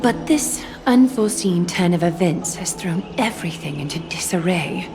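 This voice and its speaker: Stately, low-voiced woman leader. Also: expressive. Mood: grave, composed. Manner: formal, measured, stately. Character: composed, authoritative woman leader of chosen heroes. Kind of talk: scripted game story dialogue. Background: music.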